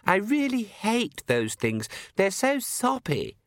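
Man doing a whiny, high-pitched vampire girl. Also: wildly swooping pitch, normal speed, dry recording, studio quality. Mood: vexed. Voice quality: sharp, whiny. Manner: complaining, vexed. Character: petulant young vampire daughter, vexed chronic complainer.

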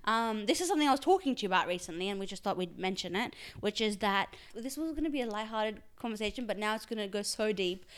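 A woman in her twenties, born in Pakistan with Urdu as her first language, co-hosting a casual podcast chat with lots of laughter. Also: medium pitch. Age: 20s. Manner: rapid, animated, laughing often. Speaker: podcast co-host.

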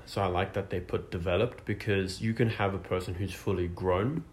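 A man's voice: low voice, fairly even intonation, moderate pace, a little hiss or hum. A man in his twenties hosting a casual podcast chat, talking with many fillers and restarts. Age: 20s. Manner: hesitant, lots of fillers and restarts. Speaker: podcast host.

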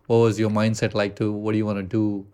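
A man's voice: on the low side, level tone, warm tone, smooth. Casual Indian-accented man hosting. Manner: loose, swearing, halting restarts. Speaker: podcast host. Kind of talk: casual podcast chat.

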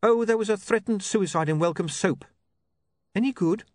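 A man voicing a mild, earnest young man with a polite, halting manner. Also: high-pitched, no echo, studio quality, pitched above the performer's own voice, lightly acted. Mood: sheepish.

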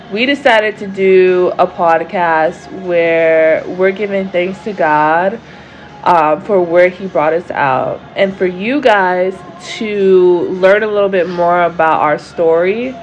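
A woman with a raspy voice co-hosting a podcast, talking in a loose, casual way about faith and friendship. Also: on the low side, highs cut off. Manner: unhurried, loose, lots of "like" and "you know". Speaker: podcast co-host. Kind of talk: casual faith testimony chat.

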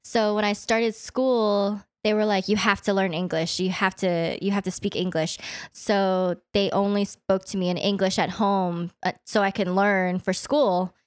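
A woman's voice: mid-range voice, steady tone, unhurried, clear, resonant tone, dry recording. Chatty young woman, dry close sound. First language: Vietnamese. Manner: chatty storyteller, many "like" fillers. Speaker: guest. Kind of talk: casual podcast chat.

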